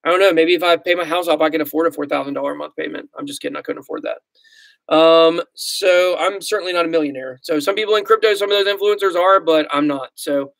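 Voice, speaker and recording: A man's voice: a little high, fast talker, gravelly, a bit bright, dry recording. Chatty Southern man with a dry sound. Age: 30s. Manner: rambling, chatty, self-deprecating, frequent fillers. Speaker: crypto livestream host. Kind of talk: casual livestream chat reading comments.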